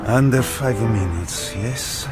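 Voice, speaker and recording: A man plays an Italian airman turned greedy hijacker in a radio thriller. He has a medium-pitched voice and speaks slowly, in clipped, gloating phrases. Loud music and engine noise sit behind him. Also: natural voice. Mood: cool, focused. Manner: clipped phrases, muttering to himself. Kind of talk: radio drama acting.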